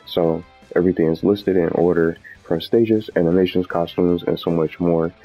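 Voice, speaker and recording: A man's voice: deep voice, steady tone, normal speed, mellow. A man hosting a gaming video with casual, unscripted commentary, rambling and often repeating and correcting himself. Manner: rambling, repetitive, self-correcting. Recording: muffled audio with background music.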